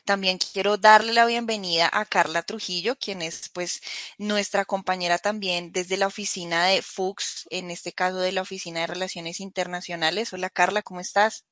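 A woman's voice: lowish voice, fairly even intonation, moderate pace, a bit bright, no echo. A woman hosting a casual podcast interview, upbeat and welcoming as she introduces guests. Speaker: host.

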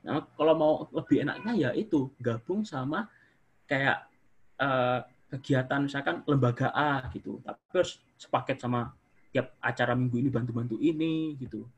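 A young man from Indonesia, studying abroad, chatting casually as an interview guest with a relaxed, rambling manner. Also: medium pitch, moderate pace, breathy edge, lo-fi sound. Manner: relaxed, chatty, hedging with fillers.

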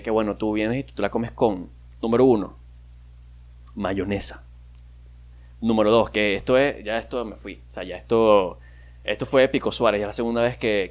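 A man's voice: on the low side, fairly even intonation, normal speed. A young man from Maracaibo with a Venezuelan maracucho accent, hosting a casual solo podcast, rambling and joking at himself. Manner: rambling, self-mocking, with frequent fillers and laughs. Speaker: podcast host.

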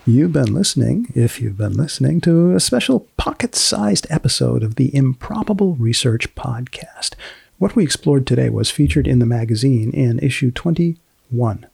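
A man hosting a podcast in a deadpan comic style, with deliberate, wry, mock-formal phrasing. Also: medium pitch, vocal fry, husky, no room sound. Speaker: podcast host. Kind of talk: deadpan comic interviewing and sign-off.

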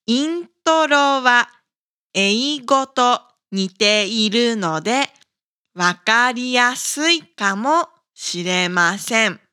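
A woman presents a scripted language lesson for learners. Her voice is fairly high, bright and very clean. She speaks with deliberate, careful enunciation, as a teacher guiding listeners. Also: lively intonation, clear, resonant tone. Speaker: language teacher and podcast host.

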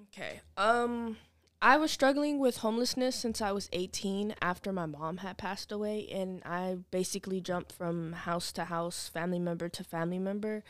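A woman's voice: medium pitch, normal speed. A woman in her early twenties talking candidly and informally as an interview guest. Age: early 20s. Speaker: podcast guest, program client. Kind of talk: candid personal conversation.

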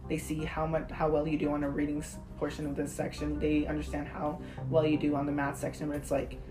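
Low-voiced teenage girl over music, native Spanish speaker. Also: monotone, fairly quick. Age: teens, high school student. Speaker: podcast co-host. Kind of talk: casual chat.

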